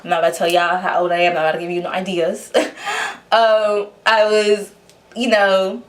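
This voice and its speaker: A woman's voice: mid-range voice, little pitch movement, a little fast. A young woman with a New York accent, telling her life story to camera in a chatty way, full of fillers and restarts. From Honduras. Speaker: vlogger.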